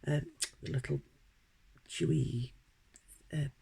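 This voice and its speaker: Croaky British woman with a cold. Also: low-pitched, fairly even intonation, dark tone. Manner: hesitant, many ums and pauses. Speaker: unboxing vlogger. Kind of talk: casual unboxing chat.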